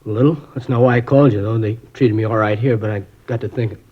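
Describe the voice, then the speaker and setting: Man voicing a defiant young delinquent, fast, sneering, muffled old radio sound. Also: on the low side, fairly even intonation, lightly acted. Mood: remorseful. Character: sullen young male drug user, defiant. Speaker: actor in radio drama. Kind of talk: 1950s radio acting. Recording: dull old radio recording.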